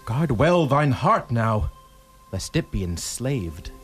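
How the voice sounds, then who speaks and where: A man's voice: medium pitch, sing-song, slow. A man playing a stern, suspicious medieval knight, declaiming archaic rhyming verse in a radio drama. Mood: stern warning. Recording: loud plucked guitar music behind. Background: guitar accompaniment.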